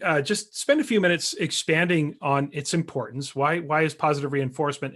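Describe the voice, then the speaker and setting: A male podcast host with a voice of ordinary pitch asks measured, thoughtful questions. Between them he offers short murmurs of agreement. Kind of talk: interview.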